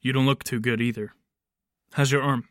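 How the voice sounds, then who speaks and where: A man's voice: mid-range voice, steady tone, normal speed, a bit bright, no echo, clean studio sound, lightly acted. A man playing a wary, defiant fugitive in handcuffs in an audio drama, speaking with cynical urgency. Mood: grim, cynical.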